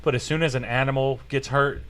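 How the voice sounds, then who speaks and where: A man's voice: medium pitch, fairly even intonation, brisk, a bit bright. A man from Florida hosting a casual podcast, telling rambling stories with many fillers and frequent laughter. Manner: rambling storyteller, laughs often, filler-heavy. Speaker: podcast host. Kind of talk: casual chat.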